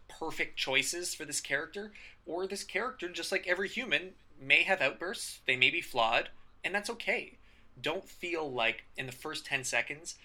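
A man co-hosting an improv podcast, chatting casually, riffing on examples with animated emphasis and the odd swear. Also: medium pitch. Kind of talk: casual instructional chat.

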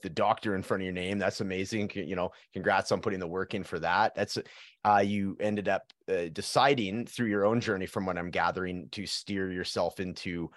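A man hosts a podcast with a relaxed, rambling style, stacking fillers and restarts as he talks. His voice sits low, and the sound is dry and close with no room echo. He keeps the talk casual and friendly. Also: fairly quick. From Canada. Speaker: podcast host. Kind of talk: casual interview chat.

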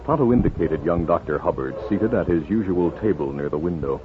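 A man's voice: deep voice, lively intonation, fairly quick, background noise. An American man reading dramatic scripted narration for a 1950s radio western. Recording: muffled 1950s radio, music behind.

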